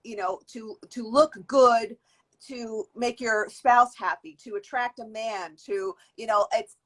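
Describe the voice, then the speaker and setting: A woman's voice: on the high side, steady tone, takes it slowly, a bit bright. A woman in her fifties, a guest in an interview, giving long reflective answers with frequent restarts. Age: 50s. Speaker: guest, beauty industry worker.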